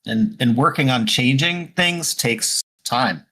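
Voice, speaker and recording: A man's voice: mid-range voice, expressive, slow, sharp tone, husky, low-quality recording. A man co-hosting a casual political podcast, talking in a dry, self-deprecating joking way. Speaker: podcast co-host.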